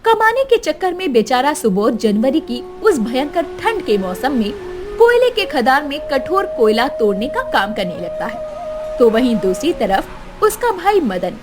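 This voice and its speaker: A woman narrator with a high voice delivers a scripted tale dramatically. Her pitch moves in an animated way. Music is clearly audible behind her. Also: unhurried. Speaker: story narrator.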